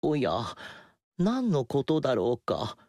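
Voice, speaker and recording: A man's voice: mid-range voice, huge pitch swings, slow pace, dark tone, no room sound, clean studio sound, full character voice. A man voicing a young noble warrior in a video game cutscene, speaking politely and modestly. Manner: polite, self-deprecating.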